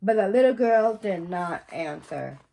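A middle-aged woman reading a children's picture book aloud in a careful, deliberate manner. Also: mid-range voice, animated, gravelly, warm tone, low-quality recording. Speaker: storybook reader. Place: small indoor bedroom.

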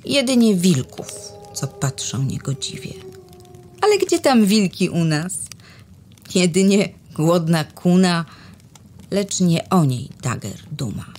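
A woman's voice: on the low side, sing-song, takes it slowly, darkish tone, a little hiss or hum. A woman narrating a rhymed children's Christmas story expressively, sometimes dropping to a whisper.

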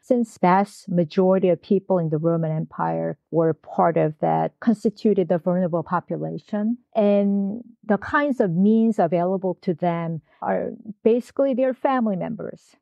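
A woman's voice: fairly low pitch, normal speed, gravelly, hardly any pauses, no room sound, lo-fi sound. A woman professor answering interview questions, speaking in a hesitant way with many fillers and restarts. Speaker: guest scholar, history professor.

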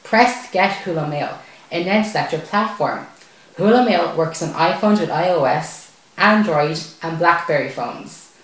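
A man's voice: a little high, lively intonation, a little slow, a bit bright. A young man presenting a scripted tech tutorial to camera. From Ireland. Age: young adult. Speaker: tech video host. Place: small bare indoor room at a desk. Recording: very echoey bare room.

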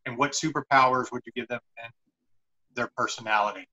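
A man's voice: medium pitch, fairly even intonation, fairly quick, pushed and bright. A middle-aged man hosting an interview, chatting casually over a video call. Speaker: interviewer, show host. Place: indoor room on a video call. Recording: slightly dull video-call audio.

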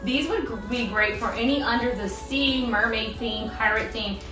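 A woman in her thirties chatting casually about her shopping haul, bubbly and quick to laugh, recorded in a home kitchen. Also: on the high side, far from the mic. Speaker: vlogger. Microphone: camera across the room. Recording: roomy kitchen, voice from off-mic, music behind.

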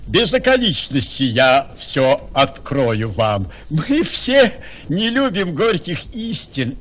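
An actor playing an indignant old noble father in a radio play, speaking theatrical verse in a husky voice. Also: a little high, a bit bright, heavily acted.

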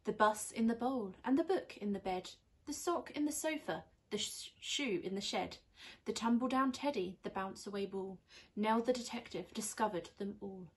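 A young woman reads a rhyming children's storybook aloud in an expressive, teacherly way. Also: medium pitch, normal speed. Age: young adult. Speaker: primary school teacher reading aloud. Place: small indoor room on a sofa.

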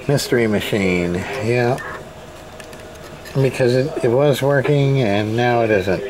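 A man giving casual hands-on repair commentary as a repairman hosting his own video, halting and muttering to himself as he works. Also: medium pitch, crowd noise, poor audio. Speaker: radio repairman and video host. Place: indoor repair workbench.

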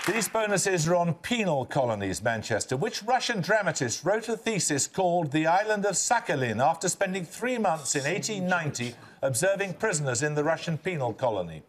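Older male quiz host, brisk and commanding, voice rising and falling widely, slightly high. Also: sing-song. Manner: crisp, clipped, authoritative delivery. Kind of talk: quiz show hosting. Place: television studio.

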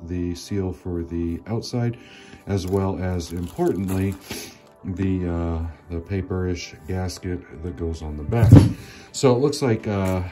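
A man with a low, mellow voice walks through his garage work in an easygoing, explanatory way, pausing often with fillers. He talks off-camera while handling parts in a workshop. Light background music sits under the voice. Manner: relaxed, explanatory, many fillers. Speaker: car mechanic and channel host. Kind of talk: casual workshop walkthrough.

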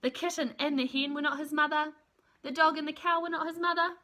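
A woman in her thirties reading a children's storybook aloud in an expressive, deliberate, emphatic way. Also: sits high, background noise. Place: small room at home, indoors.

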